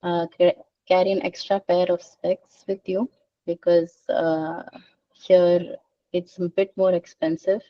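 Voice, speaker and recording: A woman's voice: lowish voice, level tone, no room sound, far from the mic, lo-fi sound. A young woman from India presenting arrival tips in a webinar, friendly and informal with frequent fillers. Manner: friendly, informal, slightly halting. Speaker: student association organizer.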